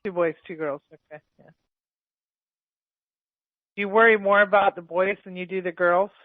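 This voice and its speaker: A man gives a past life reading on the radio, feeling his way with pauses and false starts. His voice sits a little high and sounds dry and narrow, as if heard over a phone line. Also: fairly even intonation, no echo. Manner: hesitant, full of ums and restarts. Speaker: psychic reader.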